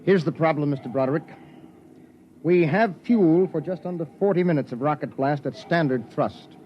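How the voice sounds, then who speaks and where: A man playing a grave, dry spaceship captain in an old radio drama, formal and measured as he commands. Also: fairly high pitch, close to an everyday voice. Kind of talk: golden age radio drama acting. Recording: dull, noisy old radio recording.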